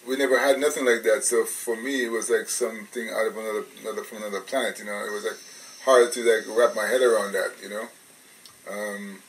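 A man's voice: on the low side, flat delivery, fairly quick, far from the mic, poor audio. A man from England, hosting a radio interview in a rambling, casual way with many restarts. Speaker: radio show host.

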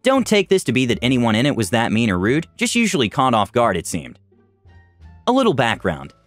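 Lively male story narrator. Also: medium pitch, a little fast. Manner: lively storytelling with shifting voices for quoted people. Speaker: narrator reading online stories. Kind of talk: scripted narration. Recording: dry close voice-over with faint music.

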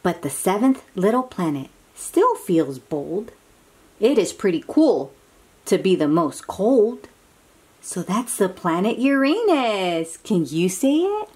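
A woman hosting a picture-book read-aloud for young children, exclaiming and asking coaxing questions in an animated manner. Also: mid-range voice, slow, slightly rough.

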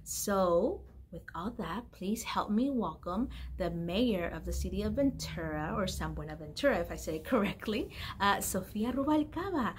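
A young woman hosting a library storytime, talking casually to small children in a sing-song, animated way. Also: medium pitch, moderate pace, hardly any pauses. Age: young adult. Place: indoor library room with bookshelves. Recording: clear background room noise.

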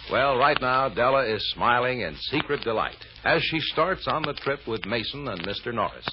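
A man's voice: mid-range voice, animated, normal speed. A man narrating an old-time American radio serial as its announcer, in a dramatic, suspenseful, emphatic manner. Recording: muffled old radio recording.

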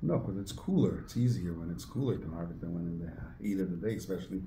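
A man in his sixties, a winemaker explaining his trade casually in an interview, somewhat haltingly, recorded across a table indoors. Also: low-pitched, animated. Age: 60s. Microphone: phone camera across a table. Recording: roomy phone recording, faint background noise.

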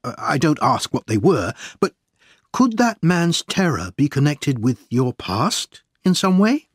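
A man voicing an earnest, determined gentleman pressing for answers in audiobook dialogue. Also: fairly high pitch, big pitch swings, unhurried, slightly rough, dry recording, clean studio sound, heavily acted. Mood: urgent. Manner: urgent, earnest.

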